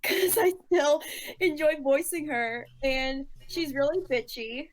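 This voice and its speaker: A woman's voice: high-pitched, takes it slowly, poor audio. A woman talking casually as a voice actor. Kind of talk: casual chat.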